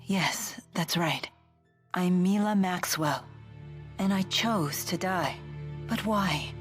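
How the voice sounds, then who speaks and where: An actress playing a solemn, troubled young spirit woman who has lost her memory, speaking haltingly in a video game drama. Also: fairly low pitch, soft background music. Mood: confused, searching. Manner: halting, introspective, slow.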